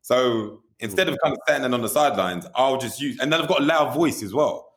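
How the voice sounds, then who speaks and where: Candid, animated male music video director. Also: medium pitch, fairly quick. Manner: animated, candid, swears casually; fillers like "do you know what I mean". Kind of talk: casual interview storytelling.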